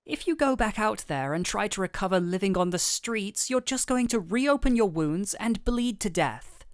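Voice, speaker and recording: A woman playing a gentle but firm, caring fantasy doctor in an intimate roleplay audio, speaking softly and earnestly to the listener. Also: lowish voice, no room sound, studio quality. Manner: soft, soothing, unhurried, earnest.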